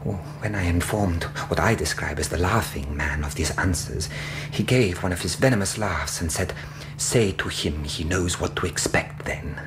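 A man's voice: low-pitched, moderate pace, few pauses, background noise, close to an everyday voice. An actor playing an anxious, earnest Greek interpreter who tells his ordeal formally and vividly in a radio drama. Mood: earnest, agitated.

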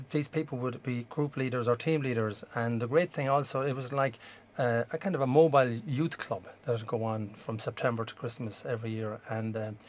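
A man's voice: medium pitch, slight background noise. A middle-aged Irish man speaking reflectively in an interview, with conversational phrasing. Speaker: musician and former pantomime volunteer.

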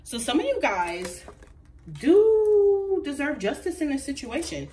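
A woman's voice: sits high, unhurried. Stuffy-nosed woman doing a chatty tarot reading, lots of ums, mic a bit far off, faint hiss. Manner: chatty and animated, frequent ums and laughs. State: congested from a recent flu. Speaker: tarot reader. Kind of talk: casual tarot reading to camera. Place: indoors at a table with crystals.

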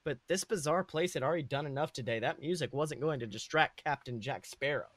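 Bright-voiced man, storytelling podcaster. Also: on the high side, brisk. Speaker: podcast co-host. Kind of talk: dramatic reading of own comic fan fiction.

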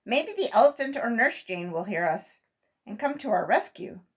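An excitable young rabbit boy from a storybook, acted out by a woman reader. The voice is high and swings widely, with dramatic exclamations. He sounds eager and brave. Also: moderate pace. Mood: urgent.

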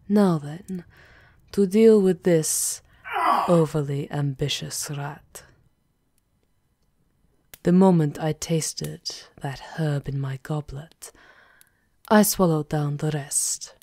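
A woman's voice: low voice, dark tone, dry recording, studio quality. A woman playing a commanding aristocratic vampire countess in an ASMR roleplay, with a theatrical, teasing menace. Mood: smug, controlled.

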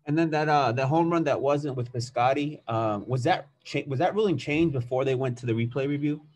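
A male reporter asking halting, quick questions at a press conference, with restarts. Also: mid-range voice, darkish tone.

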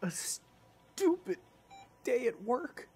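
A man playing a distraught, tender young boyfriend in an intimate audio roleplay, speaking in halting, broken phrases. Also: very high voice, big pitch swings, a little mellow, soft background music, heavily acted. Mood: anguished, tearful regret.

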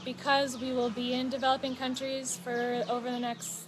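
A woman in her thirties chatting casually on a phone livestream outdoors, relaxed and informative with fillers and small laughs. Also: sits high, normal speed. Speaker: travel vlogger. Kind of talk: casual live chat. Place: outdoors at a home stay patio. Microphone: phone held close. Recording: outdoor noise behind.

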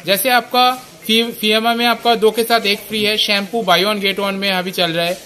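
A man in his thirties, a store manager, pitching the day's offers in an on-camera interview inside a large shop, eager and rambling, into a handheld mic. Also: little pitch movement, normal speed, pushed and bright, faint music behind. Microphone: handheld reporter mic.

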